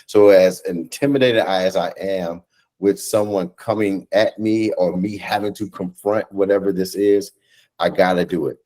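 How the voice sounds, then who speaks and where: Interview guest: American man, thirties, lower-pitched voice, conversational, heartfelt, stop-and-start phrasing, on a video call. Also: steady tone, dark tone. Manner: earnest, halting, repeats phrases for emphasis. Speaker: guest, stage play cast or crew member. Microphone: video call microphone.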